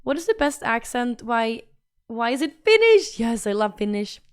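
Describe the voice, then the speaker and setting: A woman's voice: fairly high pitch, sing-song, normal speed, clean tone. A young woman with a Swedish accent, a streamer chatting casually and reading out her chat, bubbly and repetitive. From Sweden.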